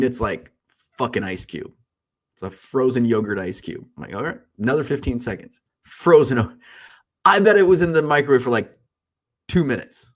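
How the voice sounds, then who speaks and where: A stuffy, congested man hosts a casual live stream, rambling from one tangent to the next with an easy joking manner. His voice sits a bit low for a man. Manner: chatty and joking, wanders off on tangents. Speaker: host of a daily live stream. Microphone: streaming setup.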